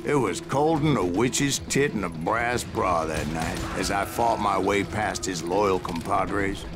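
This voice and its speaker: An actor playing a grizzled old gunslinger with an American Western accent, spinning boastful, salty tales in a video game. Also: male voice, lowish voice. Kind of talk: dramatic storytelling narration. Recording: music behind the voice.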